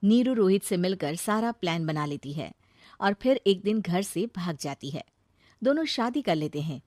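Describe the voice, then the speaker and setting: A woman's voice: lowish voice, animated, moderate pace, a little mellow, dry recording, studio quality. A woman narrating a scripted, dramatised story as its storyteller.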